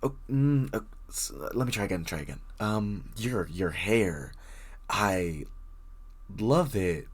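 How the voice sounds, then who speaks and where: A man's voice: on the low side, expressive, takes it slowly, breathy edge, exaggerated voice. A man playing a very shy, bookish young stranger in an intimate roleplay audio drama, stammering, rambling and apologising nervously as he addresses the listener. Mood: flustered.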